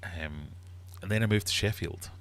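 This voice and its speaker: A man's voice: sits low, normal speed, a bit bright. A middle-aged man with a Glaswegian Scottish accent, chatting casually and ramblingly as he hosts a livestream. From Glasgow, Scotland. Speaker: livestream host and quizmaster. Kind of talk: casual live chat, reading viewer comments.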